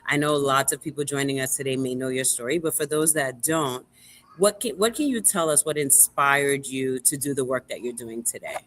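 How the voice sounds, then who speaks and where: A woman hosting a relaxed live interview, speaking emphatically and repeating words for stress. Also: very deep voice, normal speed. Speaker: live show host and museum board president. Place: remote video call livestream.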